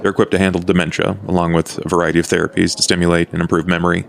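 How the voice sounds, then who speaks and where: A man voicing a courteous, measured old vampire in a tabletop roleplay podcast, speaking formally and deliberately. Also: level tone, slight background noise. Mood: earnest, formal. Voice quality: low, gravelly. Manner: formal, deliberate, understated phrasing.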